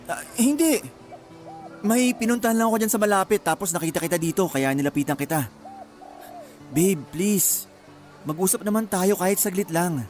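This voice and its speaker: A man's voice: high-pitched, moderate pace, long pauses, darkish tone, faint music behind, lightly acted. A man playing a jealous, possessive young ex-boyfriend in a radio drama, insistent and pressuring. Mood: pleading. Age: young adult. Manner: pleading, insistent. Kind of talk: Tagalog radio drama acting.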